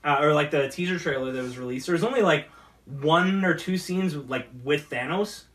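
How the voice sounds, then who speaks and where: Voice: mid-range voice, normal speed, a bit bright. A talk show host chatting casually in a rambling, hesitant way. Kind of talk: casual chat. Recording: distant mic.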